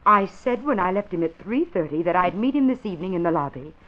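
A woman's voice: lowish voice, animated, quick pace, natural voice. A woman playing an affected, evasive young aspiring actress in an old-time radio drama, with theatrical delivery. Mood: affected concern. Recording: dull vintage radio recording.

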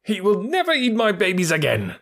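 A man's voice: high-pitched, brisk, voice pushed up, full character voice. Man putting on a chirpy feather-brained bird. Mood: excited, boastful. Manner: sing-song, excitable, flattering. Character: silly male tailorbird, chirpy and boastful.

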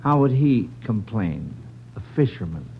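A man hosting an old-time radio quiz, speaking in a halting, encouraging and agreeable way. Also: fairly low pitch, sing-song, vocal fry, phone-quality sound, a little hiss or hum. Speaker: quiz show host.

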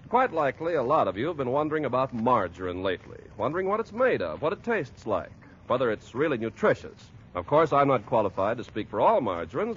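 A man's voice: medium pitch, a bit bright, slight background noise. An American man announcing on 1940s radio with polished, emphatic salesmanship, on an old muffled recording.

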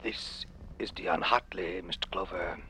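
An actor playing a dying, mocking man who gasps out halting, breathy words in an old radio drama. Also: low voice, fairly even intonation, pushed and bright, exaggerated voice. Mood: faint, mocking. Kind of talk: 1940s-50s radio drama acting.

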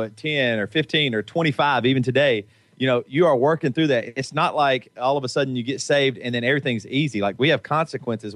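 A man talks in an earnest, preachy way, tripping over and repeating his words. His voice sits in a middle pitch, recorded close and dry. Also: fairly bright tone, dry recording. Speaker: podcast co-host. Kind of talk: casual chat.

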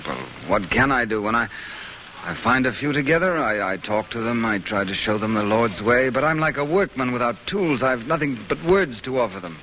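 An actor playing an earnest, devout, self-doubting preacher in an old-time radio drama, speaking haltingly. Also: male voice, mid-range voice, lively intonation, sharp tone. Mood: weary, troubled. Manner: halting, earnest, repeats words. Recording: noisy vintage radio drama recording.

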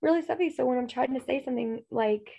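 A woman's voice: high-pitched, quick pace, creaky, dull audio, noisy background. A woman co-hosting a true-crime podcast, chatting casually with a stuffy nose, rambling with lots of fillers and laughs. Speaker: podcast co-host.

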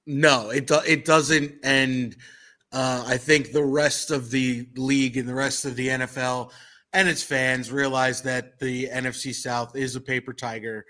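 A slightly hoarse man hosting a sports radio show, talking casually and emphatically. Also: medium pitch, flat delivery, a little slow, bright, forceful tone. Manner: emphatic, opinionated. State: a little hoarse.

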